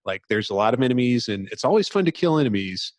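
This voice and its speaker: A man's voice: mid-range voice, sing-song, fast talker, dry recording. A man hosting a podcast, talking casually and jokingly about a video game. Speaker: podcast host.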